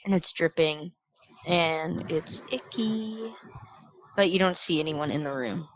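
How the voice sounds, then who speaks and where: Low voiced lady game master, dry close sound, chatty and jokey narrating the adventure. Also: a little slow, no room sound, highs cut off. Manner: informal, joking, conversational. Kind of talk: casual tabletop game narration.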